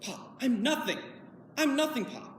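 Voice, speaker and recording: A woman's voice: sits high, lively intonation, moderate pace, slight background noise. A woman playing an anguished grown son. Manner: anguished, pleading, swinging loud and quiet. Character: bitter grown son pleading with his father. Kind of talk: audition monologue. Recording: echoey hall, from far off.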